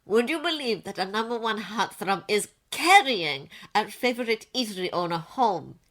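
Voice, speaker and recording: A woman's voice: mid-range voice, animated, normal speed, a bit bright, natural voice. A woman voicing a wry, thoughtful male mentor who murmurs and teases in a story. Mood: snickering.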